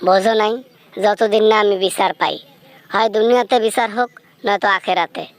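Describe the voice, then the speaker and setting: A woman's voice: medium pitch, brisk, close to an everyday voice. A woman playing a wronged ghost demanding justice in an amateur phone-call skit, solemn, reproachful and threatening. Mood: menacing, insistent.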